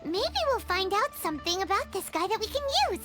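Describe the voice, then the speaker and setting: A woman's voice: lively intonation, brisk, a bit bright. A woman voicing a small, chatty, childlike floating fairy companion in a video game. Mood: puzzled, suspicious. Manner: squeaky, perky, refers to self in third person. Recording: game music behind.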